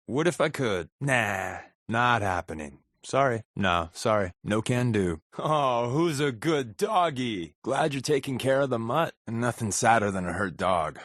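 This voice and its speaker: Sarcastic post-apocalyptic agent sidekick, deadpan wisecracks, unhurried pace, very dry close sound, video game companion. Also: male voice, mid-range voice, dry recording. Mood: casual, concerned.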